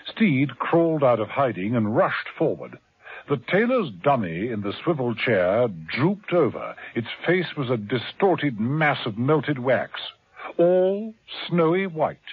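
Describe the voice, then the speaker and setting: A man's voice: mid-range voice, sing-song, takes it slowly, husky, no echo. Man narrating a radio thriller. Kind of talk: dramatic scripted radio narration. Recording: tinny old radio recording with hiss.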